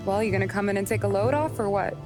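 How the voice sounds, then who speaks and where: An actress playing a tough, teasing young American barkeep's daughter in a period game, sassy and dry. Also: female voice, medium pitch, very fast, music in the background, lightly acted. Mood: caring, wry. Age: young adult. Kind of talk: dramatic video game acting.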